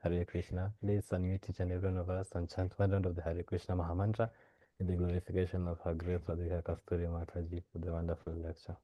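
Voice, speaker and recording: Very deep flat young man. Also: fast, dry recording, lo-fi sound. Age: young adult. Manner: polite, formal, respectful. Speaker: session closer. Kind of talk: formal devotional closing.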